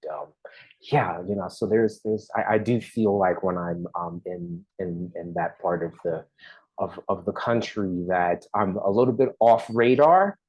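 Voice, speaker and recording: A man in his forties from the East Coast talks with a fairly low voice, restarting his sentences often as he thinks aloud. His delivery turns lively when a topic excites him, then settles back down. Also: slightly rough, poor audio. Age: 40s. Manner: halting, many restarts, lively when enthused. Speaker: documentary artist guest. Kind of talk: casual interview talk.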